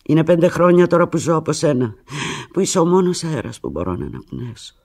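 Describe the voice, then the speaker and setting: An actress playing a desperate, pleading abandoned woman in a radio drama phone monologue, with a low, husky voice and an intense, fragmented delivery. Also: big pitch swings, full character voice. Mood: wounded, resigned. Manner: intense, fragmented, swinging from hushed to anguished.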